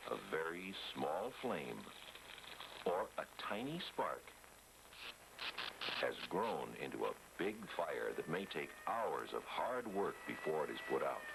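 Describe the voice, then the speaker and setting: A man's voice: very deep voice, vocal fry, heavily acted. A man narrating a 1960s educational film as an earnest American fireman, instructing and questioning young viewers on a noisy vintage soundtrack. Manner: instructive, addressing children with questions. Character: earnest American fireman explaining fire safety.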